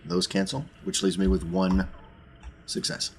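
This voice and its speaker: A man playing a tabletop game, talking casually through his actions in a deliberate, explanatory way. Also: low voice, expressive, vocal fry, a little hiss or hum.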